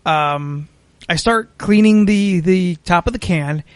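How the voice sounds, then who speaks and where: An American man hosting a podcast, telling a story casually in a halting, sweary way. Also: high-pitched, smooth, no room sound. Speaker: podcast host.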